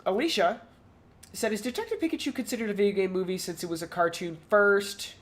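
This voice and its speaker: Podcast co-host, casual discussion, hesitant, rambling, word repetitions, mid-range pitch. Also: lively intonation, brisk. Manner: hesitant, rambling, repeats words. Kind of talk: casual pop culture discussion.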